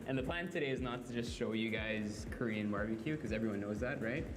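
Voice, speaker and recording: A man's voice: on the low side, fairly quick, music in the background. Curious male food vlog host. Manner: curious, describes tastes in detail. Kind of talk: casual food vlog chat.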